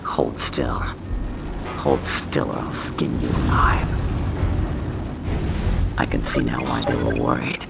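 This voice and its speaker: A man voicing a menacing, greedy monstrous bounty hunter in a video game, guttural, gravelly and gloating. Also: extremely low pitch, flat delivery, takes it slowly, pauses often, loud music behind the voice, exaggerated voice. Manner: threatening, gloating. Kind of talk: video game cutscene acting.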